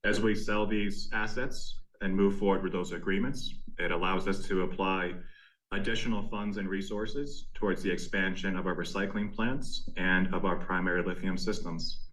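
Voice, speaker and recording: A man speaking as a company executive, delivering a prepared earnings presentation in a measured, formal way. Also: low voice, fairly even intonation, a bit bright, low-quality recording. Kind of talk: prepared corporate presentation, scripted narration.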